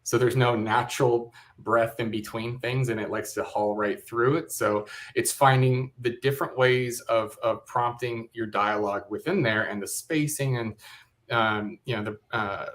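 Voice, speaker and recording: A man on a panel explaining his craft in an informal, halting, wry way. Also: mid-range voice, fairly even intonation, a bit bright. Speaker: audio drama creator, panelist.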